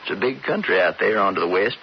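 A low-voiced scheming cowboy. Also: male voice, expressive, fast talker, vocal fry. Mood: confident, coaxing. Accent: American, Western drawl. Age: adult, older brother. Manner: folksy, drawling, persuasive. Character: scheming, bitter cowboy older brother, sly and persuasive. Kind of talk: radio western drama acting. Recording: muffled, hissy 1970s radio broadcast.

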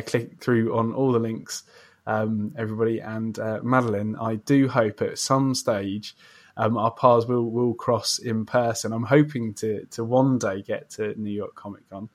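Male podcast host, mid-pitched; effusive, agreeable, conversational interviewer. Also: fairly even intonation, unhurried, darkish tone. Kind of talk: casual interview chat.

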